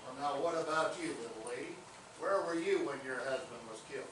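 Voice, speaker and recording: A man's voice: fairly high pitch, expressive, fairly quick, close to an everyday voice. A man playing a folksy, stubborn cowboy lawman who teases suspects in Old West slang, in a comic stage play reading. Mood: probing. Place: theatre stage, recorded from afar. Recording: distant, very echoey, noisy theatre recording.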